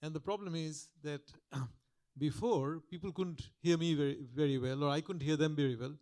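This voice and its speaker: An older man with a delivers a public lecture in a soft, mellow voice on a podium microphone. He talks reflectively, often restarting his sentences, in a slightly raised register. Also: a little high, fairly even intonation, moderate pace. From Calcutta, India. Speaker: guest lecturer.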